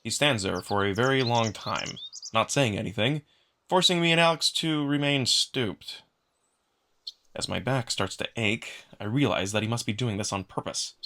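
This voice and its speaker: A man's voice: mid-range voice, expressive, moderate pace, pushed and bright. A man giving a dramatic first-person narration of a visual novel, acting as narrator. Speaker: narrator and voice actor.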